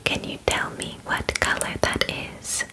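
A woman in her twenties playing a calm, clinical alien examiner in an ASMR roleplay, speaking formally and methodically close to the microphone. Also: close to an everyday voice. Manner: slow, formal, gently methodical, precise wording. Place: small bedroom, indoors. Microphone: close microphone in front of camera.

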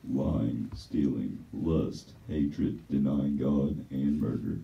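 A man playing a gravelly demonic accuser. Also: very slow, heavily acted. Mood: gloating. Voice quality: deep gravelly menacing voice. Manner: venomous, gloating. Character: sinister male accuser of souls, demonic prosecutor. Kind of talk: church stage drama. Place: church stage set, indoors. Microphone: distant camera mic. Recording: roomy, dull, from far off.

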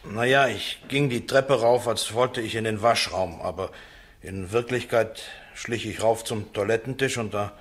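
A man performs an accused man in a radio play. His voice sits on the low side, and he talks in a colloquial, halting way, defending himself like an ordinary working fellow. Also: lightly acted. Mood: frank, halting. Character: defensive accused man on trial, down-to-earth ex-salesman.

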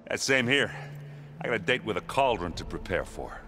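An actor playing a gruff, good-hearted, self-deprecating soldier in a video game, talking in a hesitant, joking but sincere blokey way. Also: male voice, on the low side, lively intonation, normal speed, sharp tone, a little hiss or hum. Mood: jovial. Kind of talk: video game dialogue acting. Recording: prominent music behind the voice.

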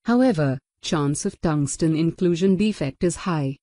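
A woman narrating a scripted technical lesson as a voice-over, in an even, measured reading. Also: low voice, dry recording. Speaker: lecturer.

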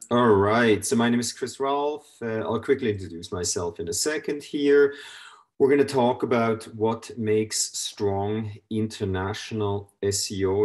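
Upbeat Swiss-accented man on a video call. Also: fairly low pitch. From Switzerland. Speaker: webinar presenter. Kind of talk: casual presentation. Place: home room, indoors.

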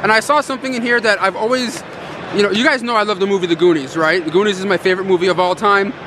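High-voiced excitable man vlogging a convention. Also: fast talker, noisy background. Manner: excited, chatty, lots of "like" and "you know". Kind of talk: casual convention vlog. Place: large convention hall, indoors.

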